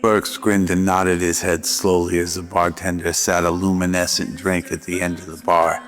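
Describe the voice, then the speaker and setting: A man's voice: low voice, flat delivery, moderate pace, a bit bright. A man narrating a scripted noir radio drama. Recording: music behind the voice.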